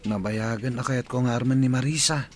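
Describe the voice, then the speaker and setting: An earnest teenage schoolboy from a village radio drama , played by a man. His voice is mid-pitched, with a wistful and sincere manner. There is faint noise behind him. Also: fairly quick, natural voice. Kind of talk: comedy radio drama acting.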